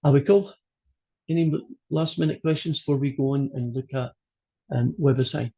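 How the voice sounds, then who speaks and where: Scottish man, gravelly, husky, math instructor, chatty online class, lo-fi audio. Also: mid-range voice, steady tone, normal speed, mellow. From Scotland. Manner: chatty, joking, loose with fillers. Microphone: video call.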